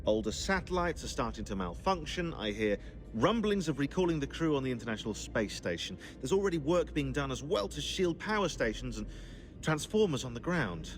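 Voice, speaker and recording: An actor playing a dry, sardonic, bookish researcher in a video game cutscene, talking in a wry, articulate way. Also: male voice, mid-range voice, very animated, fairly quick, faint music behind, lightly acted. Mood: grim.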